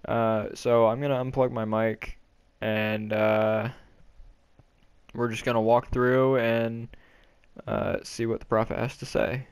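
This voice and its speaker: A man doing casual walkthrough commentary for a game video, talking in a halting, low-key way. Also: little pitch movement, clear, resonant tone, no room sound. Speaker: gaming video creator.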